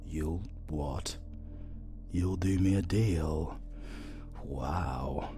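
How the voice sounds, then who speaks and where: A man's voice: extremely low pitch, loud music behind the voice, heavily acted. A British man playing a flirtatious, sardonic supervillain in a romantic comedy audio roleplay, drawling and teasing theatrically. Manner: slow, drawling, teasing, dramatic.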